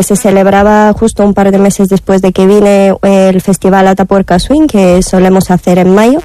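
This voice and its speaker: A woman's voice: medium pitch, dark tone, dry recording. A woman from Bulgaria, answering conversationally as an interview guest. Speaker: interview guest, member of a swing dance association.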